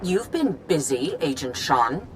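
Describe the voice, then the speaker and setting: A woman's voice: low-pitched, sing-song, measured pace, noisy background. An actress playing a stern, commanding female chancellor in a video game, cutting and unyielding. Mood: cold, accusing.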